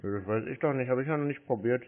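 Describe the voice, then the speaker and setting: A man's voice: medium pitch, racing. A man chatting casually on the phone in a dry, curt, offhand way. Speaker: prankster answering scam calls. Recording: tinny narrowband phone line.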